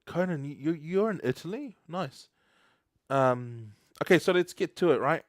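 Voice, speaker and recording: Young kiwi dude live coding, chats with viewers a lot, dry close sound. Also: male voice, on the high side, animated, moderate pace, no room sound. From New Zealand. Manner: chatty, laughs often, reads chat aloud. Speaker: livestream host. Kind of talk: casual live coding stream.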